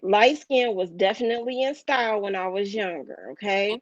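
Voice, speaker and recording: A woman hosting a casual discussion show, talking in a hurried way and addressing her listeners directly. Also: medium pitch, animated, muffled recording, slight background noise. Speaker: podcast host.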